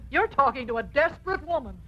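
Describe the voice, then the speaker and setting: A woman's voice: high voice, expressive, a little fast, fairly bright tone. An actress playing a wry, man-hungry middle-aged aunt in an arch 1950s radio comedy style. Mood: restless, grumbling. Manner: arch, wisecracking. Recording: dull, muffled 1950s radio recording.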